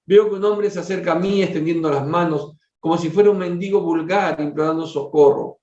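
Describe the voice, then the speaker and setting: A man's voice: a little high, steady tone, fairly quick, mellow, recorded from a distance, lightly acted. A man reading the part of a troubled, weary father in a spiritual storybook, earnest and formal. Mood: anguished. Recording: dull, roomy lo-fi recording.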